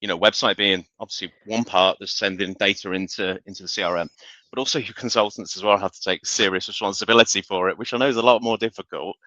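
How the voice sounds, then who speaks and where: A man in a live business discussion who speaks haltingly, often correcting himself and searching for words. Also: fairly low pitch, fairly even intonation, bright, forceful tone, no room sound, muffled recording. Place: online live stream.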